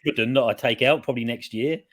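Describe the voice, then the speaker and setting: A man hosting a podcast interview, relaxed and matey, mostly chiming in with short agreements. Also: medium pitch, quick pace. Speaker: podcast host. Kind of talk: casual interview chat.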